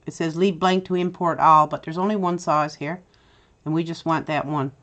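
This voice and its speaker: A middle-aged woman with a Newfoundland accent, chatty and step-by-step as she hosts a software tutorial. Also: low voice, steady tone, moderate pace. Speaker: tutorial host. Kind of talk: screen-recorded software tutorial.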